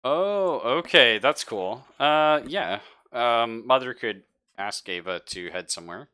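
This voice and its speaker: A man's voice: mid-range voice, animated, slow pace, pushed and bright, clean tone. A man running a tabletop game as game master, chatting casually with the players.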